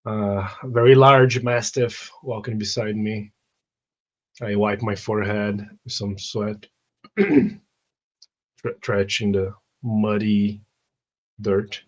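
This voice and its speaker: A man with a slightly hoarse voice from a cold hosts a tabletop role-playing session. He chats casually and trips over his words with restarts as he plays his character. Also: fairly low pitch, fairly even intonation, takes it slowly, long pauses. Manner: chatty, stumbling restarts while role-playing. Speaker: host and player.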